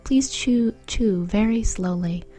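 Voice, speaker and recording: A woman speaking gently and in a measured way as a mindfulness guide and interviewer. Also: medium pitch. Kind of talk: guided mindful eating exercise, then soft interview.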